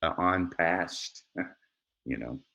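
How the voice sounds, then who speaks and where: A middle-aged man with a deep voice, a guest actor telling stories in a relaxed interview, often laughing and restarting sentences. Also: drawn-out words, vocal fry. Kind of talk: conversational storytelling.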